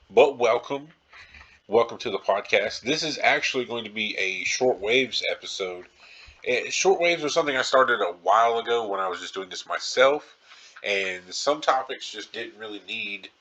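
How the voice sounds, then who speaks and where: A man's voice: fairly low pitch, pushed and bright. A man hosting a podcast solo in a casual, rambling style, informal and circling back to repeat his phrases. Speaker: podcast host.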